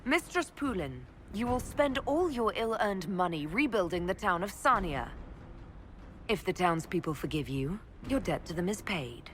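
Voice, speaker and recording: An actress playing a composed, resolute elf mage who leads with calm, measured authority in a video game. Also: female voice, on the low side, slight background noise. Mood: stern, judicial. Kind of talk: video game dramatic acting.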